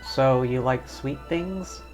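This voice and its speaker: Laid-back male game streamer; slow, wry, faint game music underneath. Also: mid-range voice. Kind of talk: live gameplay commentary.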